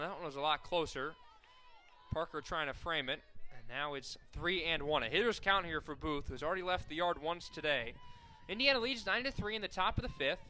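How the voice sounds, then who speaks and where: A young man calling softball play-by-play on the radio, steady and full of stats, rising sharply on big plays. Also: fairly high pitch. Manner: steady, fact-filled call that rises sharply on big plays. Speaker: play-by-play announcer.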